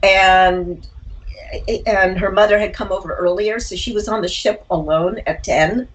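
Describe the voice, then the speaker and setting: An older woman talking reflectively as an interview guest, a writer and actor who tells personal stories haltingly, with candid asides. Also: mid-range voice, fairly even intonation, slight background noise, poor audio.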